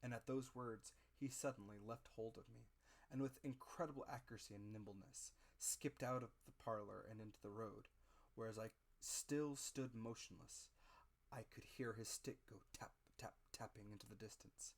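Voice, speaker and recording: A man narrating an adventure story as a dramatic audiobook reading, measured and expressive. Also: lowish voice.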